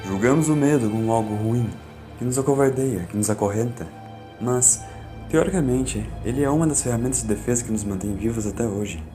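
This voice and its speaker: A Brazilian man narrating a scripted fan audiobook in a solemn, deliberate, dramatic way. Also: medium pitch, over music.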